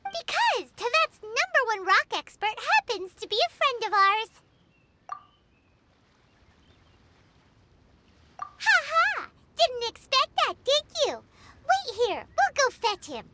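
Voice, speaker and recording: Squeaky, excitable tiny fairy girl. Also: moderate pace, noisy background. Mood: smug. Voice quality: childlike, squeaky. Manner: refers to self in third person, exclamatory. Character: tiny excitable floating fairy girl companion, cheeky and boastful. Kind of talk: video game voice acting. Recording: music behind the voice.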